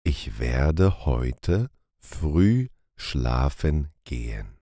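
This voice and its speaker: A man reading short phrases calmly and deliberately for a language lesson, repeating each one. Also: a bass voice, dry recording, studio quality. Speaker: language teacher.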